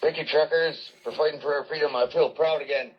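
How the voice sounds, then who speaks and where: A man's voice: fairly high pitch, steady tone, brisk, breathy edge. A man talking casually over CB radio. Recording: muffled CB radio with faint noise.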